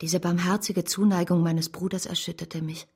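An actress playing a principled, grieving woman who tells her story with measured restraint in a German radio drama, in a breathy voice. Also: lowish voice, flat delivery, darkish tone. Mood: subdued narration. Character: Caroline, a grieving, principled woman narrating bitterly, devoted to her brother.

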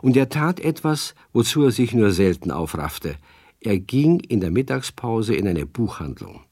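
Expressive low-voiced male storyteller. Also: measured pace, husky, dry recording, clean studio sound. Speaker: narrator. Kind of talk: scripted storytelling narration for children's radio play.

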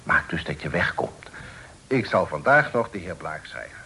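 An actor playing a stern but fair middle-aged father in a period radio drama, speaking formally and in measured, admonishing phrases. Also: low-pitched, animated, pushed and bright, full character voice. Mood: firm, cautioning. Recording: noisy old radio play recording.

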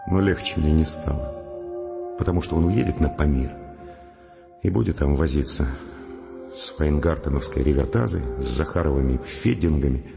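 A man's voice: extremely low pitch, normal speed, vocal fry, long pauses. An actor playing a weary, doubting scientist in an audio drama, sombre and brooding as he speaks. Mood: bitter, brooding. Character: weary, defeated male scientist, doubting, bitterly reflective. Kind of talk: audio drama acting and first-person narration. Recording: muffled, long hall reverb, music behind. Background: music.

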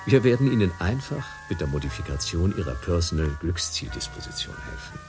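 An actor playing a smooth official who soothingly sells a happiness treatment like a salesman. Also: low-pitched, big pitch swings, fairly quick, music in the background. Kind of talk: radio play acting.

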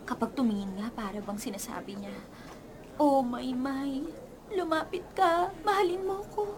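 A woman's voice: high-pitched, moderate pace, noisy background, natural voice. A woman playing a smitten, gushing schoolgirl friend in a radio drama, with dramatic slangy delivery. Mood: gushing, teasing. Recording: crowd chatter behind the voice.

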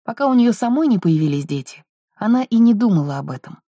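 A woman's voice: medium pitch, sing-song, quick pace, warm tone, breathy edge, dry recording, studio quality. A woman reading literary fiction aloud as a scripted audiobook narration.

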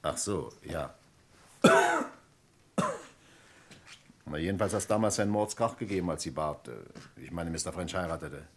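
An actor playing a nervous, plain-spoken neighbour as a witness in an old German radio crime drama, halting and colloquial yet emphatic. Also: male voice, low voice, close to an everyday voice. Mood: matter-of-fact. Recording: vintage radio play with clear background noise.

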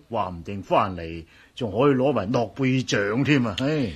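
An actor playing a fretful, grumbling old household servant in a Cantonese radio drama, with a husky voice, fussy stammering delivery and a Hong Kong accent. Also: male voice, mid-range voice, expressive, unhurried, highs cut off. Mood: curious, excited.

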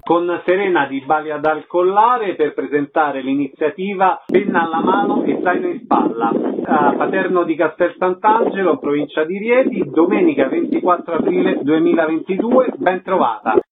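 A man's voice: a little high, moderate pace, few pauses, over loud music. A man hosting a radio programme, reading out announcements in a formal, methodical way. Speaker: radio host. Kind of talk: radio presenting, scripted announcements. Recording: narrow-band lo-fi radio sound.

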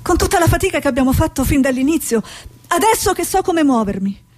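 A woman's voice: high voice, sing-song, fast, a little mellow. An actress playing a stubborn, earnest woman war reporter in a radio drama. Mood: insistent. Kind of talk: Italian stage-style radio drama acting.

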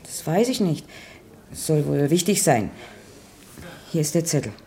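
A casual workmate in a German radio drama. Her voice is low and mellow, and she delivers her dialogue plainly and naturally. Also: animated, measured pace. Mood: matter-of-fact. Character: casual female workmate passing on a phone message.

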